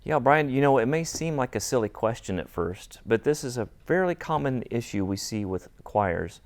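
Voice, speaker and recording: A man's voice: medium pitch, expressive, moderate pace. A man speaking as an interview guest, giving a rehearsed technical explanation as an audio and acoustics designer.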